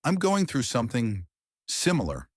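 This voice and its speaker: A man's voice: medium pitch, wildly swooping pitch, moderate pace, dry recording, studio quality, voice pushed up. A man voicing a tired, anxious young businessman in his early thirties in a storybook narration. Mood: worried.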